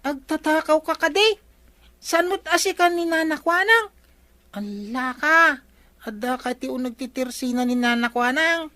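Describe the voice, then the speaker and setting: A woman's voice: high-pitched, expressive, a little slow, pushed and bright, dry recording, heavily acted. A woman playing an inquisitive, cheeky young grandchild with an Ilocano accent in a radio comedy drama, chatty and questioning. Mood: nosy, accusing.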